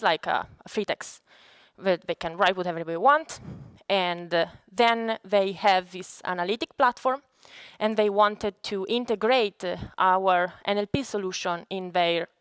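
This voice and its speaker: A woman from Italy with an Italian accent, a native Italian speaker, giving a conference presentation as a data scientist in a halting, explanatory way. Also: medium pitch, fairly bright tone, clean tone, no echo.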